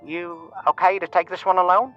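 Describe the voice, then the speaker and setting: A man voicing a tired police dispatcher in an audio story, flat and clipped, through a crackly telephone filter. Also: high voice, moderate pace, soft background music, pitched above the performer's own voice. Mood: flat concern. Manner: clipped, flat, matter-of-fact. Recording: crackly, band-limited phone line.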